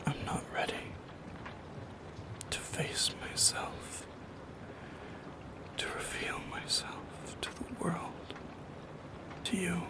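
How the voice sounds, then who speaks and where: A man voicing a lonely, yearning shadow creature in a soft-spoken ASMR roleplay, whispering in a solemn, halting, poetic way with a breathy, creaky edge. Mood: hesitant, longing.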